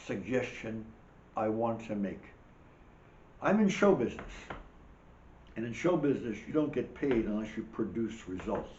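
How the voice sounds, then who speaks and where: A man's voice: medium pitch, darkish tone, a little hiss or hum. An older man hosting a monologue show, rambling with emphatic, wry asides while losing his voice. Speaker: show host. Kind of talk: monologue commentary. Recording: dull echoey room recording.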